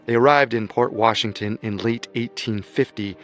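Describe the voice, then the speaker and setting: A man narrating a true-crime radio drama in a steady, storytelling manner. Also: lowish voice, moderate pace, faint music behind. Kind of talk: scripted documentary narration.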